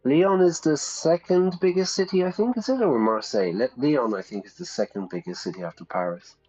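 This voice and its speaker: A man's voice: fairly high pitch, lively intonation, brisk, darkish tone, lo-fi sound, soft background music. A man giving casual, playful commentary to viewers as he plays a game, thinking aloud. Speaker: live streamer and English teacher.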